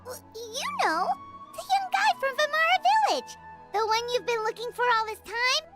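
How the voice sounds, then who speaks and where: A woman's voice: very high-pitched, lively intonation, over loud music. A woman voicing a tiny, chatty female fairy-like companion in a video game. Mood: curious. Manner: chatty, eager, talks in quick bursts.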